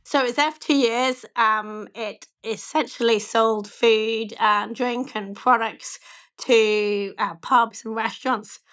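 A woman in her forties with a slightly croaky voice, answering interview questions candidly and with a self-deprecating touch, often saying "you know". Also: on the high side, fairly even intonation, slow, a bit bright. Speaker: bank chief executive, interview guest.

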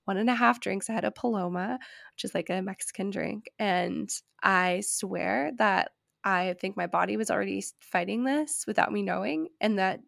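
A woman's voice: medium pitch, moderate pace, vocal fry, no echo, studio quality. Nasal, congested young woman chatting. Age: 20s. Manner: candid, laughing, swears freely. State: congested, sick with covid. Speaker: podcast co-host. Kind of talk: casual chat.

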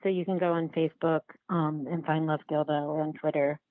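A woman being interviewed about her work, speaking reflectively and haltingly as she searches for words. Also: deep voice, flat delivery, narrow, tinny audio. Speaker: documentary filmmaker, interview guest. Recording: roomy, band-limited remote-call sound.

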